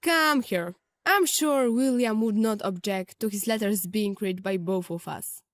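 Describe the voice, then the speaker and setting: A woman's voice: mid-range voice, a little slow, dry recording, studio quality, lightly acted. A woman playing a teasing, affectionate young woman, an older sister, in audio drama dialogue. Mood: amused, teasing.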